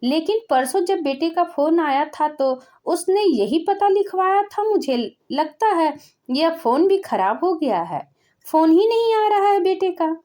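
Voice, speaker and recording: A woman's voice: very high voice, expressive, unhurried, warm tone, pitched above the performer's own voice. A woman voicing a poor old father from a village who speaks in a pleading, bewildered way, in a spoken storybook.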